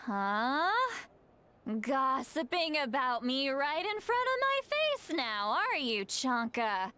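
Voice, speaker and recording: A proud, drunken shaman woman from an anime game. Her high voice swings widely as she talks slowly and dramatically, with a prickly edge, over music. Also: sing-song, sharp tone, no room sound, full character voice. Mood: annoyed. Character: ancient but youthful-sounding tipsy shaman woman, proud and prickly. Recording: music behind the voice.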